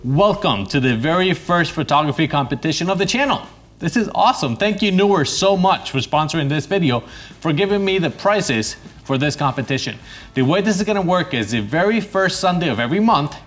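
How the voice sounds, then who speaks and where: A man from Costa Rica hosting his photography channel in a chatty, upbeat way, with bursts of excitement. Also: fairly high pitch, brisk, soft background music. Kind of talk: casual YouTube presenting.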